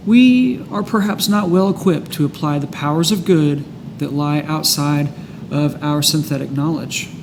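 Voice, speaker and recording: A man in a casual discussion of a book, reading passages and reflecting in a halting way. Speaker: host of a recovery book study. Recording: clear background noise with low rumble.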